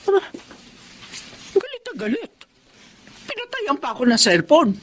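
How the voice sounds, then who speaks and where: A feisty old Filipino woman fortune teller in a radio drama. Her pitch swoops wildly as she defends herself and grumbles. There is faint noise behind her. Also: huge pitch swings, normal speed, a bit bright, exaggerated voice. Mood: grumbling. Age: older.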